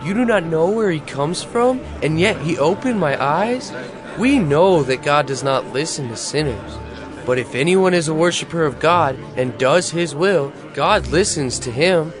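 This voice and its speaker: A man's voice: a little high, lively intonation, unhurried, music in the background. A man acting an earnest, bold beggar, newly healed of blindness, in a dramatized audio Bible, speaking emphatically. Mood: bold, indignant.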